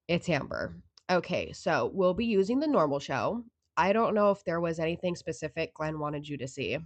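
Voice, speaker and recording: A woman acts out a laid-back young receptionist for an audio drama. Her pitch sits on the low side, and she talks casually and noncommittally, as if little matters to her. The sound is dry. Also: animated, moderate pace, dry recording. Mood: casual, polite.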